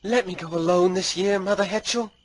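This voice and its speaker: A man's voice: high-pitched, brisk, lightly acted. A man playing a curious, timid young boy apprentice in an audio drama, speaking with hesitant wonder. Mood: earnest.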